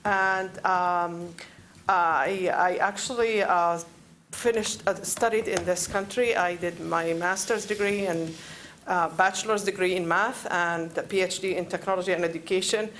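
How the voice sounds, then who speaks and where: A woman in her forties from Palestine with a Palestinian Arabic accent, making an unscripted plea at a council meeting, halting and often searching for words. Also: fairly low pitch, steady tone, fairly bright tone, slight background noise. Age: 40s. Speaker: public commenter at a council meeting. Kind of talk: unscripted public speech, pleading.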